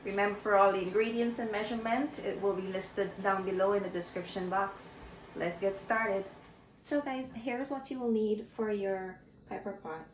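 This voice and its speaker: A woman hosting a cooking channel, explaining each step in a slow, friendly way from her home kitchen. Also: medium pitch, steady tone, slight background noise. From Guyana. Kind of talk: casual cooking tutorial. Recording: echoey kitchen with clattering pots.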